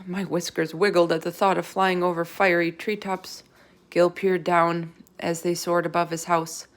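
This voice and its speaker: A woman reading a children's book aloud as a storyteller, expressively and with animated emphasis. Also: on the low side. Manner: animated emphasis, acts out character dialogue.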